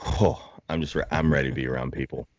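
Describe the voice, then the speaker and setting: Very deep-voiced joking man. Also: fairly even intonation, fast talker, lo-fi sound. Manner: self-deprecating, joking, rambling. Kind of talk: casual chat.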